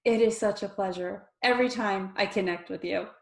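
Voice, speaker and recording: A woman hosting an interview, enthusiastic and quick to laugh. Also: medium pitch, gravelly, echoey room. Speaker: interview host.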